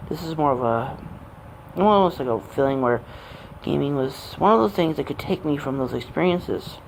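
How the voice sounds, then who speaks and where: A man in his late twenties rambling off the cuff in a personal gaming vlog, with halting, meandering and broken phrasing. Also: on the high side, lively intonation, creaky, slight background noise, rough recording. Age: late 20s. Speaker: amateur gaming vlogger. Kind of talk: rambling unscripted personal monologue.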